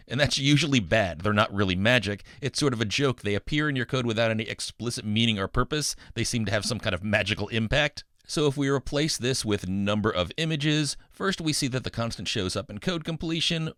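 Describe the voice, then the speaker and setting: A man's voice: fairly low pitch, fairly bright tone, dry recording, studio quality. A middle-aged man narrating a scripted programming tutorial as an instructor, with an upbeat, folksy manner and playful asides.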